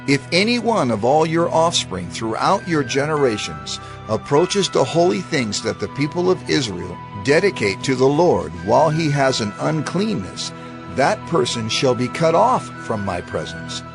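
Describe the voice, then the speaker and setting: An actor playing a solemn, instructive reciter of divine law in a formal, measured dramatized scripture reading. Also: male voice, mid-range voice, big pitch swings, gravelly. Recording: music under the voice. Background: music.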